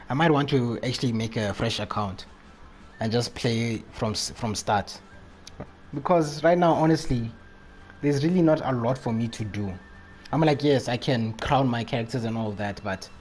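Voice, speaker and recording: A man's voice: mid-range voice, a little mellow, a little hiss or hum. A man live streaming a video game, chatting casually in slangy, repetitive phrases. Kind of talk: casual live chat while playing.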